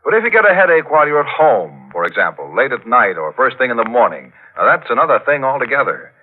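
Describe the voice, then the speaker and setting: A man's voice: mid-range voice, very animated, fast. A man reading a scripted commercial as a 1940s radio announcer. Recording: tinny, narrow-band old radio broadcast.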